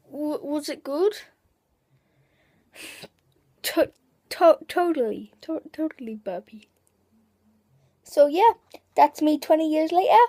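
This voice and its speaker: Young woman, high voice, slow halting delivery, comic self-pitying monologue. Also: mellow. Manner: rambling, halting, many fillers and restarts. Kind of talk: comedic sketch monologue in character.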